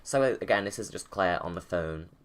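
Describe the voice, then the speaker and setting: A man's voice: deep voice, lively intonation, brisk. A man giving chatty, informal creator commentary over a film. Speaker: video creator. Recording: background film sound under commentary. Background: game movie soundtrack and ambience.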